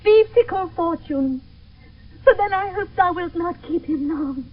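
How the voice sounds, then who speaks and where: A woman's voice: extremely high pitch, measured pace. An actress playing an impassioned, grieving young noblewoman in love, in classical Shakespearean radio drama. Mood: anguished. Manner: impassioned, declamatory verse delivery. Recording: tinny, narrow-band old radio broadcast.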